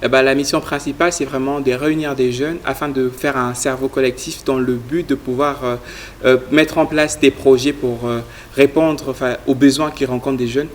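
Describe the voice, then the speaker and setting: A man's voice: mid-range voice, normal speed, slight background noise. A young man from Congo, a guest explaining his youth leadership project in an interview, earnest and full of fillers. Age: young adult. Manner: earnest, speaks in a flat even tone with frequent fillers. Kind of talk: studio interview answers.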